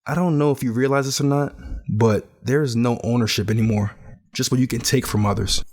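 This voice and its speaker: A man's voice: medium pitch, fairly even intonation, a little fast, a little mellow, raised voice, lightly acted. A man playing a grieving, bitter teenage survivor in a post-apocalyptic audio drama, earnest and brooding. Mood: guarded, regretful. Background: music.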